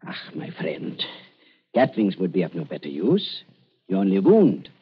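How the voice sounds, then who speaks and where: An actor playing an elderly German doctor in a radio drama, fawning and falsely soft-hearted, with halting, repetitive speech and a German accent. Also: male voice, deep voice, huge pitch swings, slightly rough, heavily acted. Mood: uneasy. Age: older. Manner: fawning, halting, repeats words. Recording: dull lo-fi radio recording.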